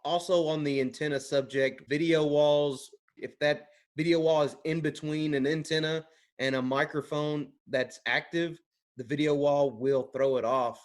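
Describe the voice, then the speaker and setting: A man who works as an audio technician, speaking conversationally on a training panel and drawing on his own experience. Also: mid-range voice. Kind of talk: conversational panel talk with anecdotes.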